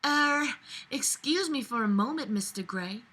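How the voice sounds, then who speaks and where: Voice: mid-range voice, lively intonation, a little slow, pushed and bright, voice pushed up. A woman voicing a shy, flustered young female shop clerk from an audiobook-style novel reading.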